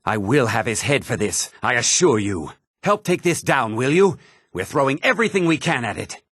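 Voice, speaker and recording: An actor voicing a haughty, formal middle-aged mage and official in a fantasy video game, speaking precisely and with condescending politeness. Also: male voice, medium pitch, very animated, moderate pace, a bit bright, dry recording, studio quality. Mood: stern, exasperated, then urgent, alarmed.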